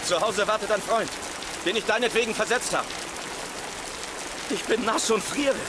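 An actor playing an exasperated, guilt-ridden younger man in a German sitcom dub, whiny and excitable. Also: high-pitched, normal speed, close to an everyday voice. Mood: fed up. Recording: heavy rain and outdoor noise.

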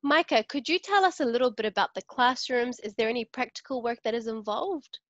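A woman's voice: a little high, brisk, a bit bright, dry recording. A young woman interviewing for a university, asking polished, upbeat questions over a video call. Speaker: interviewer from student liaison. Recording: dull lo-fi call audio.

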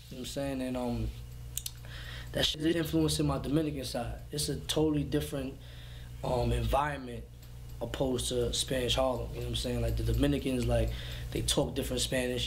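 A man's voice: mid-range voice, a little hiss or hum. A man in his twenties with a New York accent, a rapper talking casually in an interview with lots of street slang and fillers. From New York City. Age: 20s. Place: indoor brick-walled room.

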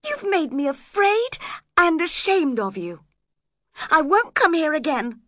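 A woman voicing a polite, sensitive young gentleman from a storybook, earnest in manner. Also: high-pitched, sing-song, measured pace, no room sound, clean studio sound, pitched above the performer's own voice, exaggerated voice. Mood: wounded.

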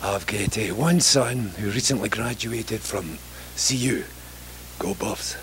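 A man's voice: on the low side, expressive, slow pace, fairly bright tone, a little hiss or hum. A middle-aged man from Scotland with a Scottish accent, introducing himself as a mayoral candidate at a forum in a joking, rambling way, speaking into a handheld mic in a library meeting room. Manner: joking, rambling, self-deprecating. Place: library meeting room, standing before seated audience.

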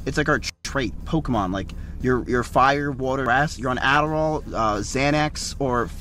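A young man bantering sarcastically and quickly, swearing and teasing in a car at night. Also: medium pitch, bright, forceful tone. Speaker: streamer. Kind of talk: casual banter.

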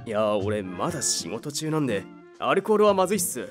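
A man's voice: mid-range voice, big pitch swings, a little fast, natural voice. An actor playing a timid, flustered young office worker who narrates his own story, over music. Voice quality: flustered, apologetic. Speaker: narrator and lead character. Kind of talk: audio drama acting with narration.

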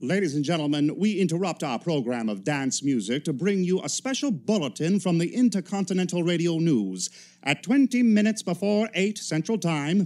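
Actor as a high-pitched, animated 1930s newsman. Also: natural voice. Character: urgent, formal male radio news announcer, 1930s. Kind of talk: 1930s radio news bulletin acting.

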